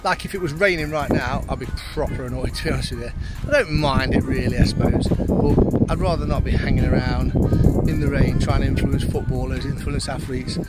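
A man's voice: mid-range voice, brisk, over loud music, rough recording. A man, chatting casually and matter-of-factly to camera as a vlogger. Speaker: vlogger and youth football coach. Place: changing spots: rooms at home, doorstep, open outdoors. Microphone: phone at arm's length. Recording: clear background noise, outdoor ambience.

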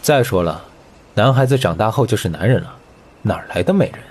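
A young man playing a gruff, irritable teenage older brother with a brusque, grumbling delivery in an audio comic drama. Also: fairly low pitch, animated, a little fast, a little mellow, slight background noise, lightly acted. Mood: exasperated.

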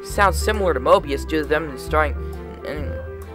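A man's voice: a little high, fairly quick, music in the background, close to an everyday voice. A man voicing a chatty, easily offended young hedgehog in a cartoon comedy. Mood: curious. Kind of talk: fan-made cartoon comedy dialogue.

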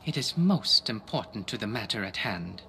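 A man's voice: fairly low pitch, sing-song, normal speed, creaky, bright, forceful tone, exaggerated voice. A man playing a stern, wary Triad underling in a video game cutscene, speaking in terse, formal sentences. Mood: stern, doubtful. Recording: noisy game audio, ambience.

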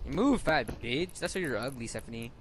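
A man's voice: medium pitch, animated, brisk, heavy background noise. A man chatting casually in gaming voice chat, with a brash, slangy, teasing manner. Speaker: gamer.

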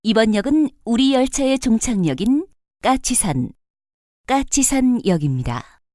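A woman's voice: on the high side, darkish tone, dry recording, studio quality. Melodic, polite female subway announcer. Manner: polite, formal. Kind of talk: scripted transit announcement.

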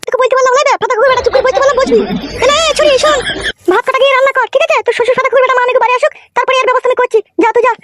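A woman voicing a foul-mouthed, scolding village woman in a comedy cartoon, with a rural West Bengal accent and a pitched-up voice. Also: steady tone, rapid-fire, a bit bright. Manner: scolding, complaining.

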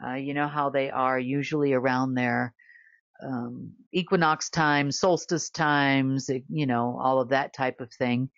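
A woman's voice: a bass voice, no echo, highs cut off. A middle-aged woman talking to camera in a studio, rambling and easygoing, with many fillers and small laughs. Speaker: guest reporter. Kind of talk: unscripted monologue to camera. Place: studio with plain backdrop.

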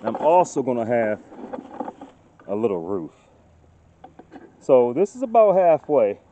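A man in his thirties talking casually to a handheld phone camera outdoors in the woods, getting loud and excited as he shows off his work. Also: mid-range voice, unhurried, noisy background. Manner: chatty, gets loud and excited showing off. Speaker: outdoors vlogger. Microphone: phone camera held at arm's length. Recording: dull lo-fi phone audio outdoors.

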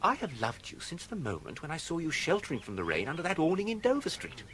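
An actor playing an urbane, unflappable young English gentleman in a radio comedy, speaking in a florid, mock-formal manner. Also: fairly high pitch, wildly swooping pitch, fast. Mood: ardent, florid.

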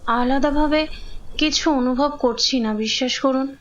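An actress playing a village wife in a horror audio drama, who is prey to an evil spirit. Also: female voice, a little slow. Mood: polite, subdued. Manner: shifts between polite speech and shrill threats. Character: gentle village wife, possessed by a shrieking, defiant evil spirit. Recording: dull audio under music.

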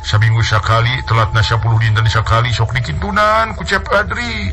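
A male storyteller playing a long-winded village uncle who lies smoothly to his niece. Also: medium pitch, normal speed, close to an everyday voice. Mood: wheedling. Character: lying middle-aged village uncle, smooth-talking.

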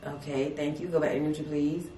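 A woman, leading a paranormal investigation and asking a spirit slow, polite questions. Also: sits low, steady tone, dark tone, slight background noise. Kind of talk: spirit communication session. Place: dim salon at night. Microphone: far camera. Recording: echoey room from far off.

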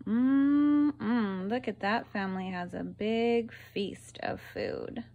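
A woman reading a children's picture book aloud like a teacher, deliberately, pausing between phrases and putting questions to her young listeners. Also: medium pitch, vocal fry, narrow, tinny audio.

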